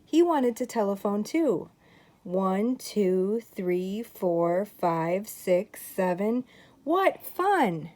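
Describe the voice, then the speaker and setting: Older woman reading a storybook with lively swings. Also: medium pitch, very slow. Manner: animated, dramatic storytelling with exclamations. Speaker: grandmother reading to grandchildren. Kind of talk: expressive children's picture-book read-aloud.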